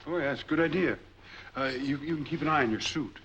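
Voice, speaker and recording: An actor playing a smooth-talking American drifter in 1940s film noir style, glib, wry and persuasive. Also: male voice, fairly high pitch, fast talker, fairly bright tone, lo-fi sound. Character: smooth-talking male drifter angling to claim money. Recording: noisy old 1940s film soundtrack.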